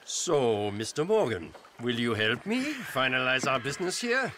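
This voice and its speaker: An actor playing a prim, formal older bookkeeper who speaks precisely, with small hesitations, in a video game cutscene. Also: male voice, mid-range voice, big pitch swings, normal speed, noisy background, close to an everyday voice. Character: prim, fussy older male money-lender clerk.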